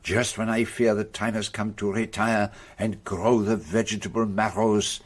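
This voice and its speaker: An actor playing an ageing, vain Belgian gentleman detective with a French-speaking Belgian accent, precise and theatrical in a radio play. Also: fairly low pitch, flat delivery, moderate pace, close to an everyday voice. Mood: musing. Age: older. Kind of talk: radio drama acting.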